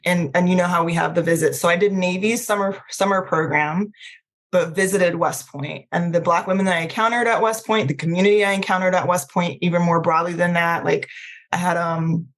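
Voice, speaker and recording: A woman's voice: fairly low pitch, steady tone, a little fast. A middle-aged woman, talking informally as an interview guest, peppering her speech with conversational filler phrases. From Trinidad, then Maryland, United States. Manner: informal, frequent "like" and "you know". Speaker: podcast guest.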